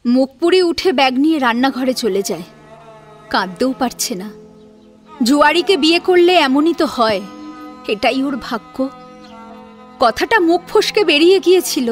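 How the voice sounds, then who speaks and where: A woman reads a scripted drama as its narrator. Her voice is high and her delivery is expressive, in a dramatic storytelling style. Faint music plays underneath. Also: moderate pace, long pauses.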